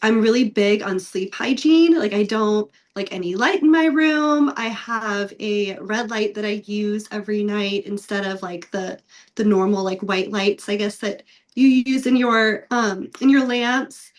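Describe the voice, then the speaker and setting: A woman in her thirties, raspy from a recent illness, chatting casually as a podcast co-host with many filler words. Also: mid-range voice, unhurried. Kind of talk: casual chat.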